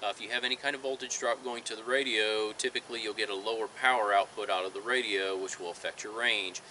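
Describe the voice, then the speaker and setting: Very bright, forceful-voiced man, matter-of-fact. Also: fairly low pitch, steady tone, quick pace, low-quality recording. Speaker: marine electronics installer giving tips. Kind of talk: instructional talk to camera. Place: outdoors in a fenced backyard. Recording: outdoor ambience, faint background noise.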